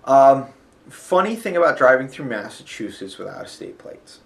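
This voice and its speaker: A man's voice: medium pitch, sing-song, normal speed, creaky. A man with a sniffly nose, chatting casually and rambling about a road trip, full of fillers. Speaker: vlogger. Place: hotel.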